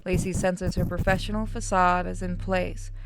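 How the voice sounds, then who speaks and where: A woman's voice: lowish voice, little pitch movement, normal speed. A woman reading a visual novel aloud as narrator, with casual asides. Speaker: game streamer narrating. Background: music.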